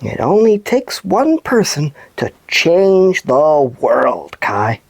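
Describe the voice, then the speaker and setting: A woman reading scripted stories and segments for children as a show presenter, with expressive, deliberate delivery. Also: sits low, gravelly, dry recording.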